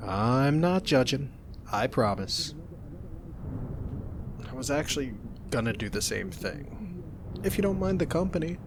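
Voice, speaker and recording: A young man doing an ASMR roleplay as a roommate, talking softly in a teasing, reassuring way. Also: medium pitch, lively intonation, measured pace, long pauses, noisy background.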